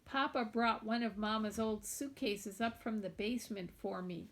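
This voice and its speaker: Higher-voiced woman reading a storybook aloud. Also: steady tone, normal speed, fairly bright tone. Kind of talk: expressive audiobook narration in a young girl's first person.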